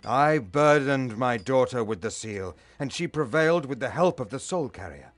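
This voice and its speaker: Man playing a grave veteran warrior, animated. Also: mid-range voice, normal speed, soft background music, close to an everyday voice. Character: deferential veteran male warrior commander, grave and honourable. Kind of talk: fantasy game cutscene acting.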